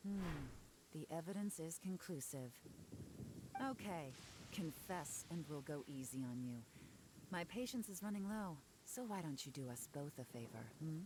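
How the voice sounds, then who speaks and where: A low female voice as a video game investigator. She is composed and sure of herself, with a dry threat under unhurried words. Clear noise sits behind the voice. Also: animated. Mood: cool menace. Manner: composed, dryly menacing, unhurried. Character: cool, confident female investigator interrogating a bandit. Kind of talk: English video game voice acting.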